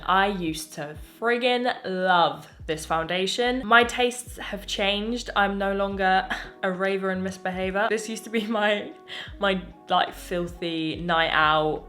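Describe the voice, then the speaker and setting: A woman's voice: mid-range voice, a bit bright. A young English woman chatting through makeup reviews at home, gushing and chatty, her voice a little strained. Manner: gushing, chatty, self-interrupting. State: voice slightly going. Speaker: beauty vlogger. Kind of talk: casual chatty product review.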